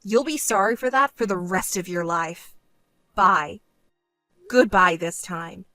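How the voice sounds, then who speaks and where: A woman's voice: on the low side, measured pace, bright, forceful tone, dry recording, soft background music. A woman voicing a stern, fed-up sister with a grudge in a dramatized story, cold, firm and scolding. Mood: cold finality.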